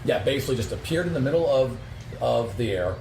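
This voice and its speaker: A man's voice: mid-range voice, lively intonation, fast. A man casually explaining the scene to players as game master of a tabletop game. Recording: echoey room with clear background noise.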